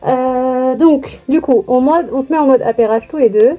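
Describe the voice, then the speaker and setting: Casual female gear vlogger, mellow high voice, informal and sweary, light music underneath. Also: normal speed. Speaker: motorcycle vlogger testing gear. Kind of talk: casual chat while demonstrating gear.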